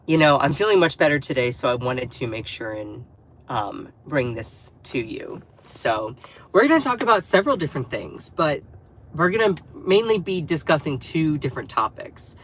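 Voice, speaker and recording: A man's voice: a little high, very animated, normal speed, a bit bright, slight background noise. A man living in North Carolina, sounding a bit nasal as he recovers from a cold, hosting a casual political livestream and talking to his chat. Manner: chatty, addresses the live chat directly. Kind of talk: casual live commentary.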